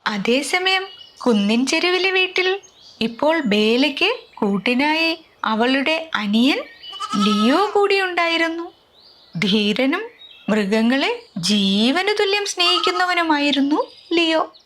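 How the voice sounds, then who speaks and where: High-pitched, slow-paced woman storyteller. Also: sing-song. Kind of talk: children's story narration, scripted.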